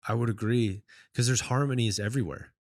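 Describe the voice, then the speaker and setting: A man with a low voice hosting a podcast and chatting casually, in an enthusiastic, slangy, talkative way. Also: brisk, darkish tone. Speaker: podcast host. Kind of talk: casual chat.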